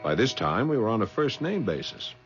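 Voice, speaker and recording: An actor playing a polite, cool-headed detective who poses as a writer, with measured, wry delivery in a 1970s radio drama. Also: male voice, fairly low pitch, sing-song, soft background music. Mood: measured, narrating. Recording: dull 1970s radio broadcast.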